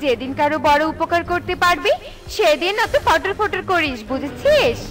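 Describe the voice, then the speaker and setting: A woman's voice: very high-pitched, lively intonation, moderate pace, fairly bright tone, soft background music. A woman voicing a haughty female bird in a children's cartoon, taunting and full of scorn. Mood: scornful. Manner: sneering, taunting, sing-song scorn. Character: mocking, scornful female bird, haughty older sister type.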